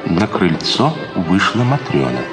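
A male narrator reads scene directions for an old radio play. His voice is deep and varied in pitch, with loud music behind. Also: sing-song, measured pace.

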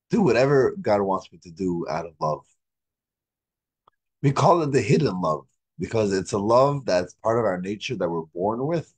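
Male teacher, thirties, for an online religious class: lowish voice, husky as if recovering from losing it, halting, explanatory delivery. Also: darkish tone. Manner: halting, repeats phrases for emphasis. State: hoarse, recovering from a lost voice. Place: indoors, home study with bookshelves. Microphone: video call microphone.

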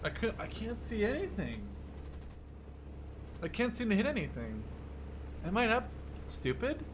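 A young man giving casual, excitable gameplay commentary, swearing freely as he reacts out loud to the game. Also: high voice, unhurried, noisy background. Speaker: gaming video host. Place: small room at home, indoors.

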